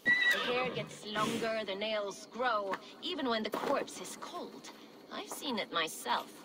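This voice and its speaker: Brash Viking shieldmaiden for a game: bawdy, wry and teasing, voice on the high side, clearly noisy outdoor background. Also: female voice, wildly swooping pitch, slow pace, exaggerated voice. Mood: bawdy, jaunty. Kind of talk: video game character acting.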